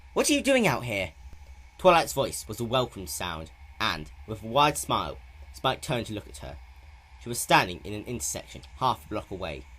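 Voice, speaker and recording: A man's voice: fairly low pitch, fairly bright tone, slightly rough, poor audio. A man narrating a story in a dramatic, scripted reading style.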